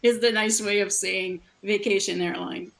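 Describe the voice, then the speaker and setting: A woman's voice: medium pitch, fairly even intonation, normal speed, creaky, fairly bright tone. Giggly French Canadian woman on a video call. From Montreal, Canada. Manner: giggly and chatty. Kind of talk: casual chat.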